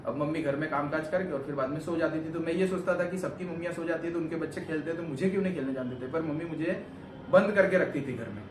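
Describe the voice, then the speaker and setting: A young man talks through his own story in a loose, rambling, earnest way, often circling back to restate a point. His voice sits a little high. He sounds set back from the mic in an echoey room, with steady noise behind him. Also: fast talker, distant mic. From Gujarat, India. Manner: rambling, earnest, self-correcting. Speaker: vlogger answering questions. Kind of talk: casual interview chat.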